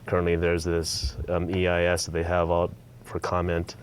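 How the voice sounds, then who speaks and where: Young academic guy on a tv panel, low flat voice, measured. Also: a little hiss or hum. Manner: measured, hesitant restarts. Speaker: assistant professor, panel guest. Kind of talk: studio panel discussion. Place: TV studio.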